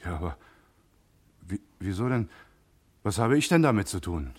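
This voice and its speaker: Very deep-voiced, evasive car mechanic. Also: male voice, big pitch swings, moderate pace. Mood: uneasy, hesitant. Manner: hesitant, hedging, stammering. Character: evasive, uneasy male car mechanic under questioning. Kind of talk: German radio crime drama acting.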